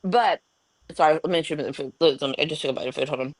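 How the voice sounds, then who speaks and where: A woman's voice: sits low, fast, dry recording. A woman in a casual live-stream talk, speaking earnestly and a little defensively, with many fillers. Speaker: guest in live stream discussion.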